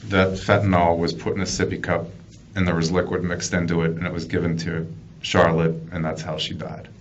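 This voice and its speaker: An American man working as a police detective, questioning someone in a measured way with repeated, restarted phrases. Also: sits low, completely flat delivery. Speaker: police detective conducting an interrogation. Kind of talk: investigative interview. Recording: muffled, noisy police interview room recording.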